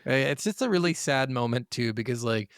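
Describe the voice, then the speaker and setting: A man's voice: mid-range voice, animated, a little fast, clean tone, no room sound, clean studio sound. A man co-hosting a podcast, talking informally and bluntly about video games, with casual swearing. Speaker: podcast co-host.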